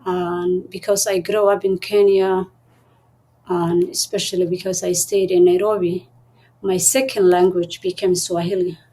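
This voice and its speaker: A woman from Somalia, speaking as an interview guest in a soft-spoken, thoughtful way with pauses and restarts. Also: on the low side, flat delivery, rough recording. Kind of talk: interview conversation.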